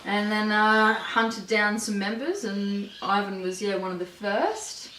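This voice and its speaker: A woman, chatting easily about her band in an interview, relaxed and quick to laugh. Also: mid-range voice. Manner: easygoing, laughs often. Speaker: band singer and guitarist. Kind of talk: casual interview chat. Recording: echoey room, distant mic, faint noise.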